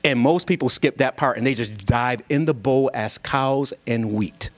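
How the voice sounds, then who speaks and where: A man's voice: mid-range voice, normal speed, no echo. A young man speaking at a singles conference from a pulpit with a handheld mic, telling a story with humor in a sermon-like style. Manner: lively, storytelling, jokes with the crowd, animated delivery. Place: church sanctuary at a pulpit. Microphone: handheld microphone.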